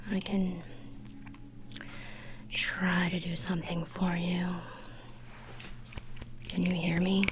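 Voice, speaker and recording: A woman performing an ASMR roleplay as a weary, drily unimpressed spooky doctor, whispering softly. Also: lowish voice, flat delivery, slow, pauses often, background noise. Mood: dry, measured. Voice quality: soft whisper. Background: music under the voice.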